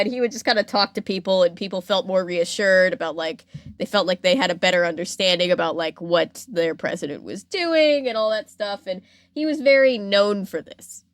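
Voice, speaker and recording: A woman's voice: medium pitch, no room sound. A woman hosting a podcast, telling a story in a playful, informal, conversational way with casual asides. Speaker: podcast host.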